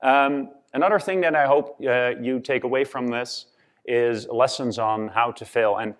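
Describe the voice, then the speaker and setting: A man's voice: medium pitch, fairly even intonation, moderate pace. A man from the Netherlands with a Dutch accent, giving a conference presentation with many fillers and restarts. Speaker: conference speaker.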